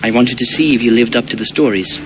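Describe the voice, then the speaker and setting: An actor playing a cocky, hot-headed young Viking prince with a brash manner in a video game. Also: male voice, mid-range voice, quick pace, background noise, close to an everyday voice. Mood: earnest. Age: young adult. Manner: taunting, brash. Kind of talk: dramatic video game cutscene acting.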